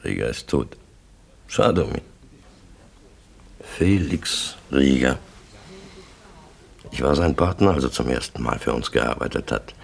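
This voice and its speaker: Calm scary mob boss in a german radio crime play, super deep creaky voice, short orders. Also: male voice, full character voice. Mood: cold, controlled. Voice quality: gravelly. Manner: terse, commanding, deliberate. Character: calm, menacing male crime syndicate boss.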